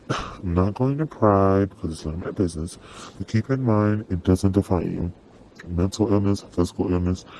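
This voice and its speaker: Performer as kindly male-sounding demon for ASMR roleplay: very low, soft-toned, apologetic, reassuring, processed through a voice changer. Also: slight background noise, poor audio. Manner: soothing, reassuring, conversational. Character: gentle, apologetic sleep paralysis demon comforting a human.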